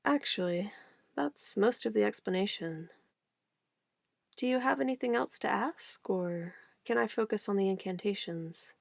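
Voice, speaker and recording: A woman's voice: mid-range voice, a little slow, creaky, dry recording, studio quality. A woman playing an obsessive, possessive witch in an ASMR roleplay, sweetly menacing and cooing in an intimate, theatrical way. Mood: patient, menacing. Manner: intimate, cooing, theatrical, shifting between soft whispers and firm commands.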